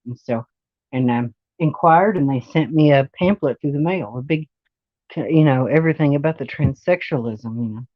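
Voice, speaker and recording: A woman's voice: rumbling low, normal speed, dry recording, muffled recording. A woman in her forties giving a casual, rambling personal monologue, often circling back to repeat points. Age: 40s. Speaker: vlogger sharing personal experience.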